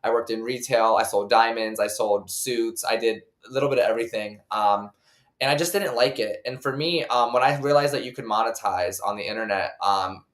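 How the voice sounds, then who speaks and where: A man's voice: medium pitch, fairly quick, a bit bright, roomy sound. A chatty American man in his twenties from Ohio, casually telling personal stories as a podcast guest, with "like" sprinkled throughout. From Ohio, United States. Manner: chatty, peppered with "like". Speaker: podcast guest, content creator.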